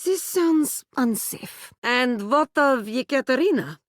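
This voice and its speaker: High-voiced, bombastic warrior. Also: female voice, animated, takes it slowly, no echo, clean studio sound. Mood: earnest. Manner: boastful, formal, earnest, drops articles. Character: proud, bombastic female warrior who speaks of herself in third person. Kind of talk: video game fantasy role-play acting.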